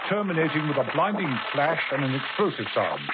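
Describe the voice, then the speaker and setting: A man narrating a 1970s radio drama in a dramatic, measured storytelling manner. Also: on the high side, big pitch swings, very noisy. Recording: tinny old radio broadcast with music.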